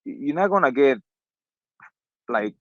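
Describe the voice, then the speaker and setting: A man's voice: medium pitch, lively intonation, unhurried, no room sound. Rambling man on a dull call line. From Honduras. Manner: rambling, many restarts and fillers. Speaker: community member on a crypto call. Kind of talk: casual chat.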